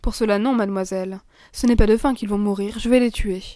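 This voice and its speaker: A woman voicing a gruff country gamekeeper in a storybook, loud and blunt. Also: mid-range voice, steady tone, quick pace, no room sound, studio quality, close to an everyday voice.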